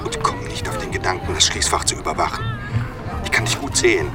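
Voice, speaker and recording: A man's voice: deep voice, huge pitch swings, brisk, over a noisy crowd. A man playing a thief who blackmails someone in a controlled, mocking, commanding way in a radio drama. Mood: cold, commanding. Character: cool, taunting male thief blackmailing by phone. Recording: street bustle, traffic and music behind.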